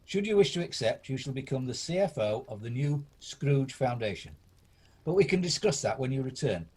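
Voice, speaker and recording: Man acting husky, theatrical old Scrooge, swooping delivery. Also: medium pitch, big pitch swings, moderate pace, a little mellow. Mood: kindly. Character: Ebenezer Scrooge, gruff old miser turned generous benefactor. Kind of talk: amateur radio play acting.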